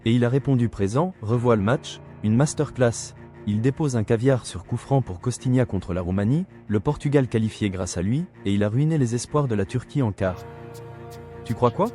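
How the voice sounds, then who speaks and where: A middle-aged man talks straight at the listener in a combative, sarcastic rebuttal, lecturing as if to a younger rival. His voice is mellow and dark, pitched in an ordinary middle range. Faint music plays underneath. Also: expressive, normal speed. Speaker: video commentator. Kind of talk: scripted rebuttal monologue.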